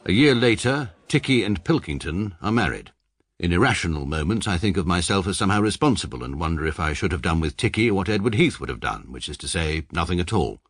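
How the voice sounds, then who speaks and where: An actor playing a pompous, precise middle-aged English barrister, narrating his own life deadpan in an upper-class accent for a radio comedy drama. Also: sits low, brisk, slightly rough, no echo, studio quality, exaggerated voice. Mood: dry, self-satisfied.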